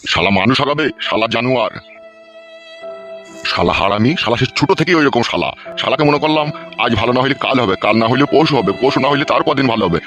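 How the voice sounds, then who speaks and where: A man's voice: mid-range voice, animated, fast, fairly bright tone, soft background music, natural voice. A man voicing a scheming, bossy, middle-aged village uncle in a comedy cartoon, scolding and constantly checking he is understood. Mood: bitter cursing. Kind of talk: Bengali comedy cartoon dubbing.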